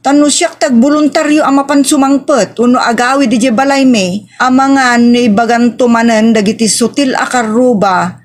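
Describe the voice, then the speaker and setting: A woman's voice: a little high, steady tone, moderate pace, close to an everyday voice. A woman playing an aggrieved, stubborn young wife in a family audio drama, insistent and plaintive. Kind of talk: Ilocano family radio-style drama acting.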